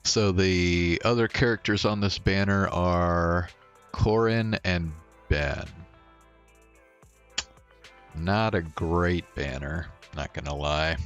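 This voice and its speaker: A man chatting casually and in a low-key way as he streams a video game. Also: slow pace, muffled recording, faint music behind. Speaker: livestream gamer. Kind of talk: casual gaming stream chat.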